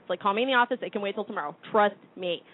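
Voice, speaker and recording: A woman's voice: mid-range voice, rapid-fire. A woman in her thirties from Detroit with a Midwestern accent, a guest in a casual chat, brash and self-mocking. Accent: Midwestern American. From Detroit, Michigan. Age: 30s. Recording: tinny phone-like audio.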